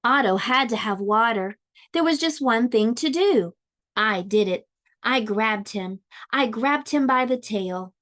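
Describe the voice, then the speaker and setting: A woman reading a children's storybook aloud like a preschool teacher, expressively, with deliberate, drawn-out dramatic emphasis. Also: a little high, expressive, fairly bright tone.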